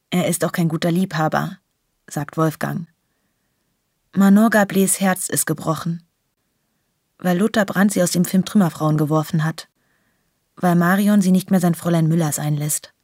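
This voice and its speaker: A woman's voice: lowish voice, steady tone, moderate pace, pauses often, darkish tone, no room sound, studio quality. A woman reading scripted literary narration in a radio play.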